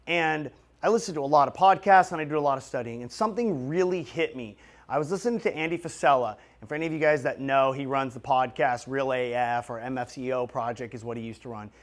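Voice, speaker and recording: A man in his late thirties giving an emphatic, direct motivational monologue to his audience, coaching them like a business vlogger. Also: medium pitch. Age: late 30s.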